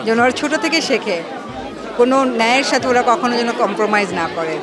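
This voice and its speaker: A woman's voice: a little high, expressive, fast talker, crowd noise. A woman with a Bangladeshi accent giving a vox pop interview as a visitor. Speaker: exhibition visitor. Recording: loud crowded public space.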